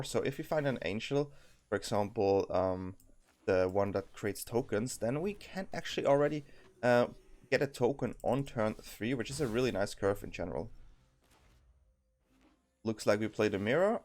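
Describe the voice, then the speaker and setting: A man's voice: lowish voice, lively intonation, normal speed, a little mellow. A young man with a Swiss accent giving casual, thinking-aloud commentary as he plays a card game, with frequent ums and restarts. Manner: thinking aloud, many ums and self-corrections.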